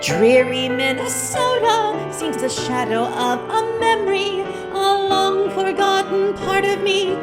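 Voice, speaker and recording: Woman as high-voiced blissful singing bride. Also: slow pace, few pauses, loud music behind the voice. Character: blissful, devoted young bride singing of love. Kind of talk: musical theatre singing. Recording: loud musical accompaniment.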